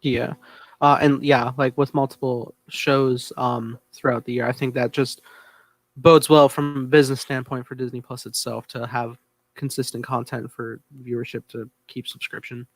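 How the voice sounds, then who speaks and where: A man's voice: mid-range voice, little pitch movement, normal speed, no room sound. A man hosting a podcast, leading the topics in a casual, conversational way.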